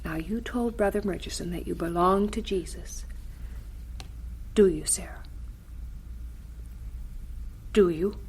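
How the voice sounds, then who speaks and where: A woman's voice: on the low side, a little mellow, a little hiss or hum, close to an everyday voice. An actress playing a stern but caring, devout mother in a radio drama, speaking firmly and earnestly. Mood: stern, grave. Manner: firm, earnest, deliberate.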